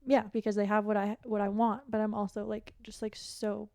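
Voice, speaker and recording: A woman guest on a podcast, an athlete and coach, talking candidly and casually in a halting, reflective way full of "like". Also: mid-range voice, level tone, dark tone, dry recording, studio quality. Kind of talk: candid casual interview chat.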